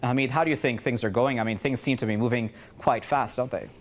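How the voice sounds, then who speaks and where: A man's voice: mid-range voice, fast. A man presenting the news, reading reports and putting questions to a guest in a broadcast style.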